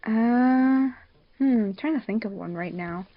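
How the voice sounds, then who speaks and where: A woman's voice: a little high, unhurried, dry recording, dull audio. A giggly, rambling woman hosting a casual group podcast. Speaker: podcast host, fandub production crew member.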